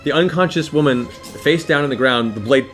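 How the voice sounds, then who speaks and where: A man's voice: medium pitch, normal speed. A man narrating a tabletop fantasy game as game master, with vivid descriptions and casual asides. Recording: loud music behind the voice.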